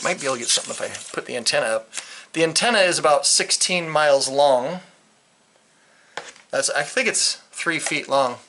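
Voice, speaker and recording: A man's voice: on the high side, sharp tone, a little hiss or hum. An American man giving a casual, unscripted product review in a jokey, digressive way. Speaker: vlogger reviewing a radio. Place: indoors in a concrete-walled bunker.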